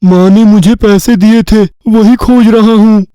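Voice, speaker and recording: Man acting a foolish boy, cocky, self-satisfied, mellow and smooth, cartoon story. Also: high voice, steady tone, dry recording, low-quality recording. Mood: puzzled, earnest. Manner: self-satisfied, slow-witted, drawn-out talk. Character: foolish, cocky village boy, proud of himself. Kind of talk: Hindi cartoon story dialogue.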